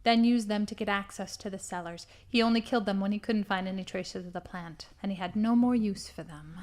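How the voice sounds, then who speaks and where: A woman's voice: medium pitch, brisk, lightly acted. A woman playing a scheming, smugly menacing female villain in an audio drama, with theatrical, dramatic delivery. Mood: gloating, menacing. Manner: theatrical, gloating storytelling with hushed dramatic emphasis.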